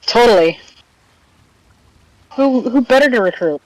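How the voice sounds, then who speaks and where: A woman's voice: on the high side, lively intonation, unhurried, darkish tone, no echo, poor audio. A woman streaming a video game, tossing off casual, joking remarks and the odd swear. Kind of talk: casual gaming commentary.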